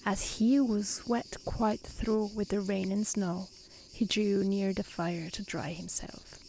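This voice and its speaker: A woman reading a storybook aloud in a soft, breathy, half-whispered ASMR style, gently and carefully. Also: mid-range voice, fairly even intonation, takes it slowly, rough recording. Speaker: narrator reading a fairy tale. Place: outdoors in nature. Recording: faint outdoor insect noise. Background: crickets and insect ambience.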